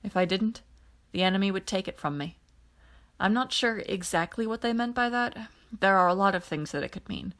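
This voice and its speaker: A woman voicing a serious, gentle military man, a steadfast leader, in an audiobook. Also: medium pitch, moderate pace, lightly acted. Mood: grave, resolute.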